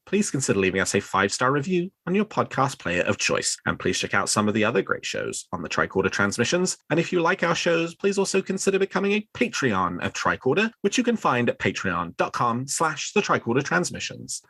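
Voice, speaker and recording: A man's voice: mid-range voice, very animated, a little fast, hardly any pauses, bright, forceful tone, slightly rough, no echo. A man hosting a fan news podcast, talking at length in wordy, discursive sentences. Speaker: podcast host.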